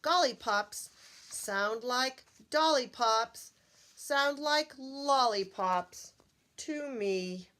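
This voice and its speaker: Woman as a confident little boy, slow and sing-song. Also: fairly high pitch, fairly bright tone. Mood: confident explaining. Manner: deliberate, sing-song explaining. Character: clever little boy, patient and sure of himself.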